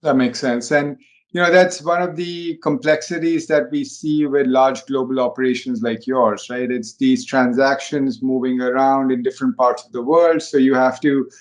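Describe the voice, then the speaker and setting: A man hosting a conversational business interview, speaking haltingly and often dropping in "you know". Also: medium pitch, steady tone.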